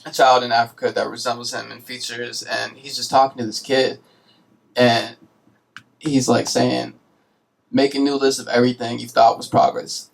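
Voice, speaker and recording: A man's voice: mid-range voice, flat delivery, moderate pace, gravelly, rough recording. A young man hosting a college radio talk show, rambling in casual street slang with lots of fillers. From United States. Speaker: radio host.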